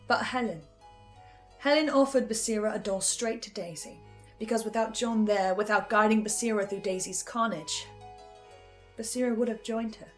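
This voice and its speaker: A woman hosting a scripted video essay, giving reflective commentary with dramatic pauses. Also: a little high. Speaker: reviewer and host. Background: music.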